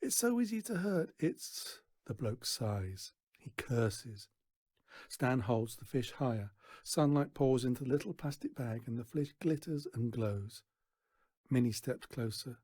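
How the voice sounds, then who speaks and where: A man reading a children's story aloud in an expressive narrating style. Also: mid-range voice, a little slow, no room sound, studio quality. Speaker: storybook reader.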